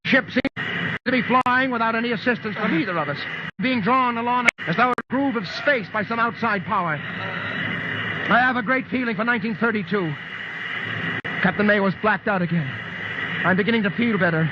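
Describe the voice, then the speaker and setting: An actor playing a frightened scientist test pilot in an old-time radio drama, tense and urgent in a clipped reporting manner. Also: male voice, sits high, normal speed, long pauses, natural voice. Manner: clipped reporting that breaks into panicked cries. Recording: noisy, muffled old radio broadcast.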